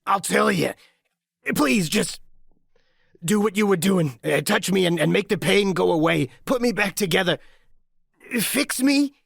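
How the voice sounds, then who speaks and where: A man's voice: sits high, lively intonation, measured pace, gravelly, pauses often, a bit bright, no room sound, clean studio sound, voice pushed up, full character voice. A man voicing an injured skinhead gang thug, crude and grudging, with a strained, gasping delivery in an audiobook. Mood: desperate pleading. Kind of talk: audiobook character acting.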